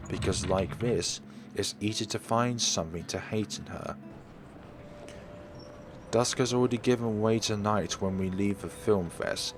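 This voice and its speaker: A man's voice: on the low side, slight background noise. A man narrating a visual novel aloud in a dramatic first-person style, now and then stumbling over words. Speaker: let's play host reading a visual novel aloud.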